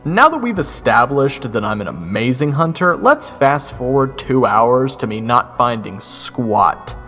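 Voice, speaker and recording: A young man narrating an audio drama in the first person, sardonic and expressive. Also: mid-range voice, a little slow, big-room sound, soft background music. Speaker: narrator and lead voice actor.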